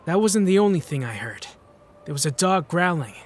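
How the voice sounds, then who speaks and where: A man playing a troubled young psychic who narrates his story in a brooding, hushed, dramatic fan-dub style. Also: on the high side, very animated, moderate pace, slight background noise. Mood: uneasy, pensive.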